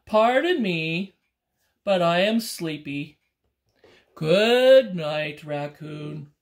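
A woman's voice: fairly low pitch, animated. A woman voicing a sleepy, well-fed bear about to sleep through the winter. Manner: slow, drowsy and loud.

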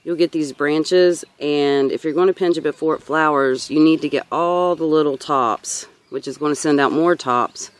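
A woman's voice: deep voice, moderate pace, few pauses. A woman in her thirties giving a casual, rambling vlog-style tour as a gardener, recorded outdoors on a handheld camera. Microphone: handheld camera or phone.

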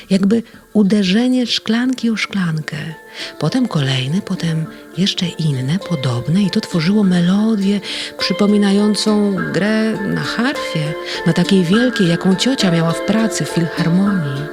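A narrator reading a children's audio story aloud in a tender, gently expressive way. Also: mid-range voice, measured pace, soft background music.

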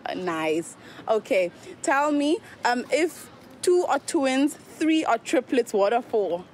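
A woman interviewing people on the street, upbeat and quick with her questions and thanks. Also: high-pitched, very animated, darkish tone. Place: outdoors on a street. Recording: street recording with faint noise.

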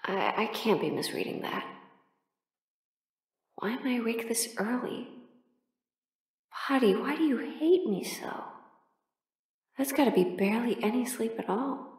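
Woman acting a sleepy affectionate girlfriend, soft muttering, unhurried, playful grumbling, intimate roleplay audio, roomy sound. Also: fairly high pitch, lively intonation, distant mic. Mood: drowsy, grumbling. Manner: soft, drowsy, muttering to herself with whispers and sighs. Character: sleepy affectionate partner awake in cold bed, playfully grumbling.

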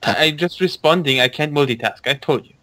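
A man chatting with friends, making quick joking remarks about a story being read. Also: fairly high pitch, bright, forceful tone. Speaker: friend listening in. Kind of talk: casual chat over voice chat.

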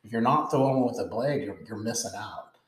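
A man's voice: lowish voice, a little fast, echoey room. A man in his forties hosting a fishing channel, chatting eagerly as he unboxes lures and often repeats himself. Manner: enthusiastic, chatty, repeats words. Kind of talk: casual product unboxing. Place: indoor room with logo backdrop.

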